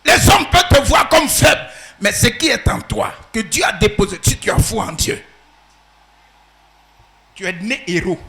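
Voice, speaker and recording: A man preaching a sermon as pastor, swinging from shouted peaks to quiet asides. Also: high voice, big pitch swings, normal speed, gravelly, pauses often, soft background music.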